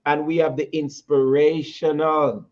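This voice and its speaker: A man's voice: a little high, level tone, unhurried, dull audio. Jamaican-accented man hosting a talk show. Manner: stumbling, repeats words, laughs easily.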